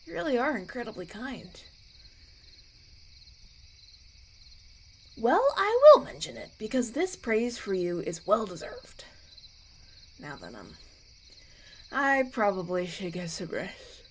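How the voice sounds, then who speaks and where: A woman voicing a kind, grateful young prince in a roleplay audio, speaking in a gentle, hesitant, conversational way. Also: medium pitch, big pitch swings, unhurried, a little hiss or hum. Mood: touched, shy.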